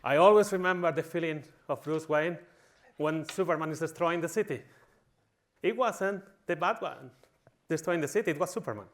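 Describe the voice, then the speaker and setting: A man's voice: fairly high pitch, moderate pace. A man from Spain with a Spanish accent, giving a humorous conference talk in a tongue-in-cheek, mock-villainous manner. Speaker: conference speaker.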